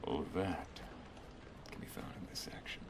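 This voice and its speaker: A man playing a protective, affectionate wizard master in an ASMR roleplay, speaking in a hushed, gently instructive way that often slips toward a whisper. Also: moderate pace, noisy background, lightly acted. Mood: solemn, protective. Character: protective, affectionate male wizard master teaching his apprentice and lover. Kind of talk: ASMR roleplay, intimate second-person monologue.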